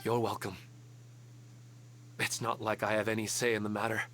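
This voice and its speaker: A gentle, resigned family man. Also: fairly low pitch, flat delivery, normal speed, a little hiss or hum. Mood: wistful. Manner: polite, quietly wistful. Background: game music.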